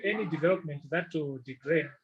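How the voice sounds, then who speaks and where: Higher-pitched man with background voices. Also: brisk, lo-fi sound, crowd noise. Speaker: panelist. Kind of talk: panel discussion answer.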